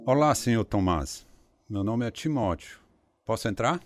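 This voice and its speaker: A man's voice: fairly low pitch, lively intonation, measured pace, loud music behind the voice. An actor playing a kindly, polite, caring male neighbour with a Brazilian accent in a radio drama. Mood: concerned.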